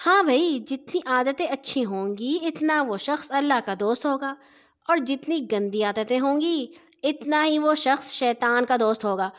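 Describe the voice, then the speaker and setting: A woman's voice: high voice, natural voice. A woman voicing an exasperated older sister in a children's story, emphatic, reproachful and instructive. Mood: earnest advising. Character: exasperated older sister scolding then gently advising.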